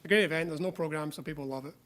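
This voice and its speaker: A man making quick, chatty remarks as a guest at a public meeting, often repeating words. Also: on the high side, fairly bright tone. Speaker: guest speaker.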